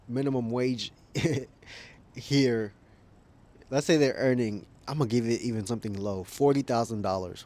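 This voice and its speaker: Rambling man thinking out loud. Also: fairly low pitch, a little hiss or hum. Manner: rambling, thinks aloud and self-corrects; chuckles often while talking. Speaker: YouTuber. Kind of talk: casual opinion chat.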